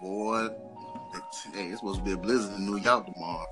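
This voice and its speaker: A man hosting a podcast, chatting casually with an animated, hyped-up delivery. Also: low voice, a little fast, over music. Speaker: podcast host.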